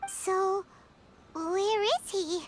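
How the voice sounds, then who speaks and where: A woman voicing a tiny, excitable, childlike fairy girl companion in a video game, with a squeaky, naive delivery. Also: extremely slow, full character voice. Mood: puzzled. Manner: excitable, naive, refers to self in third person. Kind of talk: English video game voice acting, cartoonish. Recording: loud game music behind the voice.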